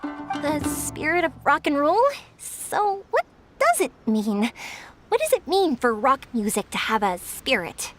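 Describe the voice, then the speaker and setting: A bubbly young woman with a high, very animated voice. She sounds guileless and friendly, in game dialogue with music clearly behind her. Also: a little slow. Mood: curious. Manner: expressive, naive, musing. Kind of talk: animated video game voice acting.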